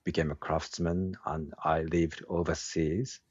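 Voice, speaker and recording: A middle-aged man from Japan, speaking English with a Japanese accent in a relaxed interview. He talks slowly and haltingly and searches for his words. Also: rumbling low, breathy edge, no echo. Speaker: guest, woodworking craftsman and teacher. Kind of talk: casual interview conversation. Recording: dull, dry lo-fi sound.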